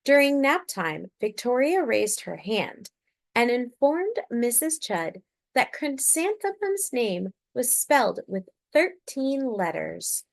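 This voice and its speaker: Expressive, unhurried woman reading a picture book. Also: a little high, gravelly. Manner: deliberate, with drawn-out emphasis on key words. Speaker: children's picture book reader. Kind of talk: expressive read-aloud storytelling.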